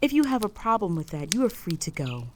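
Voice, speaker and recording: A woman playing a stern, proud, possessive female orc chieftain in a roleplay audio drama, speaking in a formal, measured, authoritative way. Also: mid-range voice, big pitch swings, noisy background, lightly acted. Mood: commanding, stern.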